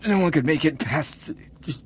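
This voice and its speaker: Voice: medium pitch, normal speed, a little hiss or hum, natural voice. An actor playing a bitter, wounded colonist in a video game, strained, accusing and in pain. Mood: pained, confused. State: in pain, gasping. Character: wounded, bitter, defiant colonist of a conquered world. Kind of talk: dramatic video game acting.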